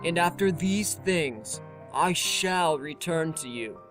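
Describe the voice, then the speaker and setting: A narrator reading a scripture audiobook in a solemn, measured, formal manner. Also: mid-range voice, lively intonation. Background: music.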